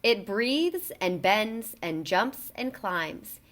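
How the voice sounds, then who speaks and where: A woman reading a children's picture book aloud in an expressive, emphatic storytelling delivery. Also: mid-range voice, very slow.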